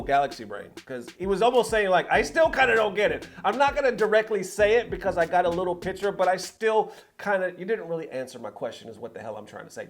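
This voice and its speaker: Guy from the bay area, higher voice, slangy hyped gaming vlog talk w music under it. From San Francisco Bay Area, California. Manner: animated, slangy, swearing, conversational. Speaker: YouTube gaming news host. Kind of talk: casual opinionated vlog talk to viewers. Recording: music playing behind the voice. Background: background music.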